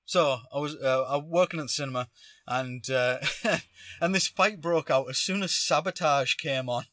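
Guy on a podcast just chatting, bright forceful voice a bit high, animated storyteller, dry close sound. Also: normal speed, no room sound. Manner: animated, acts out shouted lines; chuckles while talking. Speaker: podcast host. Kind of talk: casual spoken personal storytelling.

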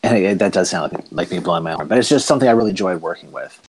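A man's voice: deep voice, lively intonation, very fast, a little mellow, a little hiss or hum. A man with a Maritime Canadian accent, chatting casually and self-deprecatingly as a comic book writer being interviewed. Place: remote call recording.

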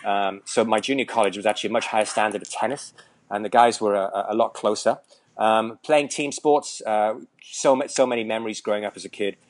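Welsh guy in his 40s, talks quick, lots of ums and restarts, kinda joking. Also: fairly low pitch, steady tone. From Wales. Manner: joking, rambling with many fillers and restarts. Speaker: tennis coach, guest. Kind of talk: casual interview talk.

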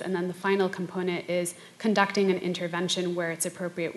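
A woman with a fairly low voice speaks on a discussion panel. She is articulate and explanatory, setting out points one after another in an unscripted way. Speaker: panelist, community organizer.

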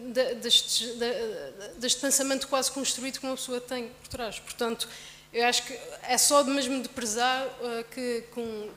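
A young woman speaks on a discussion panel, stumbling through repeated restarts as she searches for her point. Her voice sits somewhat high. A long hall reverb trails her words. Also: a bit bright, slight background noise. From Ribeira Grande, Azores. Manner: halting, loses her thread, many restarts.

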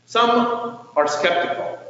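A man preaching a sermon as a pastor, speaking in a measured, earnest way with emphatic swells and soft endings to phrases. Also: sits high, expressive. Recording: distant mic in echoey hall, dull, faintly noisy.

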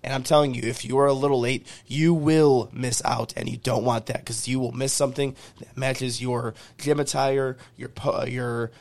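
A man with a hoarse, fading voice hosting a podcast, reading upbeat sponsor ads and repeating promo details. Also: medium pitch, fairly even intonation, normal speed, hardly any pauses, darkish tone. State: hoarse, losing his voice. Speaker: podcast host. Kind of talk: podcast intro and ad reads.

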